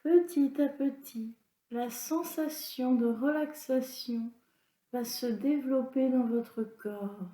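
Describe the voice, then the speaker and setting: Slow, high-voiced woman guiding relaxation. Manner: calm, soothing, instructional. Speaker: yoga nidra instructor. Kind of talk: guided relaxation meditation. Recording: roomy, echoing sound.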